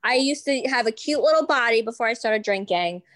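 A woman's voice: fairly high pitch, animated, fairly quick, fairly bright tone. A woman co-hosting a casual podcast chat, blunt, teasing and crude. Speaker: podcast co-host.

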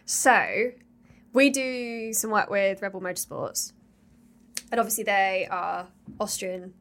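A woman's voice: medium pitch, slow pace, creaky, fairly bright tone. A woman co-hosting a casual chat podcast, upbeat and enthusiastic. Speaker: podcast co-host.

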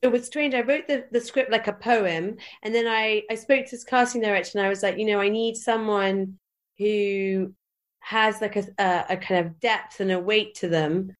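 A woman director talks in a podcast interview at a middling pitch. Her delivery is relaxed and reflective. She restarts her sentences now and then as she picks her words. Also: moderate pace. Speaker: film director.

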